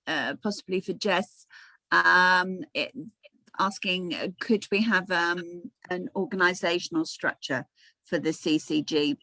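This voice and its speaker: A woman chairs an online meeting over a video call. Her voice is low-pitched yet forceful and bright, the sound is dry and close, and she talks in a friendly way with many fillers. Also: no echo, poor audio.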